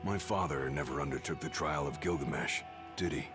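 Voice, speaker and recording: An extremely deep young man's voice as a defiant royal bodyguard in a video game. He is gruff, blunt and casual, determined in his delivery, with music playing clearly underneath. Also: brisk. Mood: steady, curious. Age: young adult. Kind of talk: scripted video game acting.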